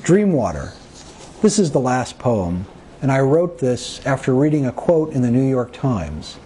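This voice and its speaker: A man reading his own poems as a poet, with measured, deliberate phrasing and pauses between short phrases. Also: mid-range voice, very animated, warm tone, slight background noise. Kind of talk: poetry reading of own work.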